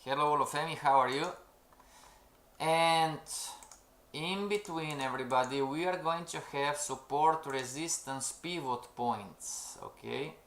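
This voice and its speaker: A man's voice: fairly high pitch, measured pace, fairly bright tone. A man running a live-streamed trading lesson who keeps calling out "everybody", asks "okay?" and reads chat messages aloud. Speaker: trading instructor. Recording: roomy echo.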